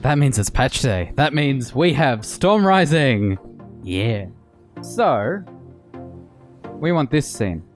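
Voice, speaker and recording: A man's voice: medium pitch, very animated, slow, pauses often. A man who streams games, chatting casually and greeting viewers and friends over background music. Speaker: game streamer hosting a multiplayer session. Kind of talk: casual livestream chat.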